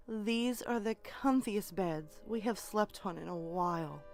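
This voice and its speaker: Woman playing a slow, creaky-voiced army woman. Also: medium pitch, soft background music. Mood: relaxed. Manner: sighing, unhurried. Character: weary army woman in her late thirties. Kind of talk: audio drama dialogue.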